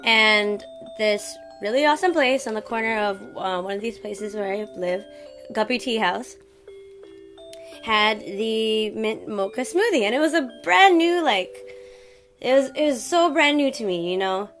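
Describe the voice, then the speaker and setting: Casting: female read-aloud host, fairly high voice with lively, swooping intonation, emphatic and theatrical, music behind. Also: a little slow. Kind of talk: expressive storybook reading with chatty introduction.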